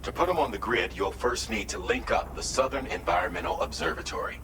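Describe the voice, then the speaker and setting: Game mission commander, male actor: authoritative, formal, deep register, roomy distant sound over a music bed. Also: animated, brisk, background noise, close to an everyday voice. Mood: serious, instructing. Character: sober male mission commander giving orders. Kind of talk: scripted game mission briefing.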